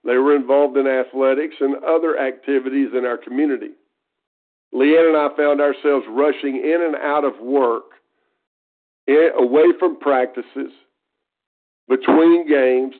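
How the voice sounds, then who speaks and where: A man's voice: medium pitch, fairly even intonation, measured pace. A man in his fifties with an East Texas accent, a guest speaker talking earnestly and folksily on a conference call, often restarting his phrases. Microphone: telephone. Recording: tinny phone line.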